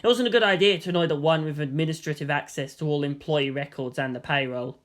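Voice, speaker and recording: An amateur audiobook narrator reading a fan story in a steady, mid-pitched voice. The pacing is even and unhurried, and dialogue gets voiced in character. The tone is plain and matter-of-fact. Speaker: fan fiction reader.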